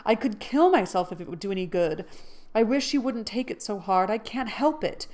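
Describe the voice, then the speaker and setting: A woman's voice: fairly high pitch, moderate pace, pitched above the performer's own voice, lightly acted. A woman voicing a frank, spirited young woman who speaks earnestly and emphatically.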